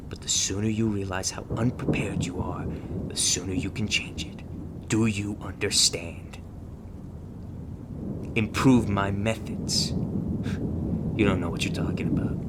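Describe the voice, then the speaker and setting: A man acts out a seasoned monster hunter mentor in an ASMR roleplay, deep-voiced and slow to get his words out. He is gruff, guarded and sardonic, quick to swear, with clear rumbling noise behind him. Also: lightly acted. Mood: stern, challenging. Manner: foul-mouthed, sardonic, scornful. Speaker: voice actor in an audio roleplay.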